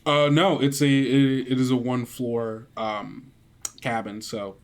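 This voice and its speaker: Male game master, mid-pitched, improvised scene descriptions with halting, thinking-aloud phrasing. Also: flat delivery. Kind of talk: improvised tabletop game narration.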